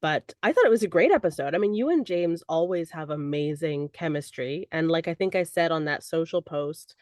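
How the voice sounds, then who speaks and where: A young woman co-hosting a casual podcast with her father, hoarse and congested after an illness, playful and teasing. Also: sits low, animated, brisk, darkish tone, no echo, studio quality. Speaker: podcast co-host. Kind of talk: casual chat. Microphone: recording online.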